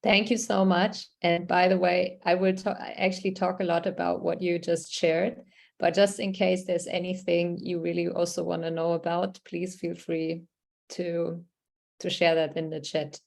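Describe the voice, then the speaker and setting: A woman speaks over a video call, running an online workshop. Her voice sits on the lower side, and her delivery is conversational as she reads participants' answers aloud and responds to them. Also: little pitch movement, normal speed, vocal fry. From Germany. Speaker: workshop facilitator.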